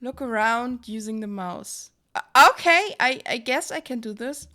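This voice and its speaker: A young woman chatting casually on a livestream in an excitable, giggly manner. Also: on the high side, a little slow. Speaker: streamer.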